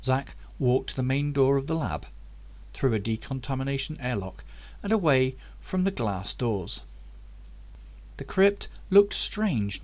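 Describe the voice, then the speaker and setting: A man's voice: medium pitch, a little mellow. A man reading his own novel aloud as scripted audiobook narration.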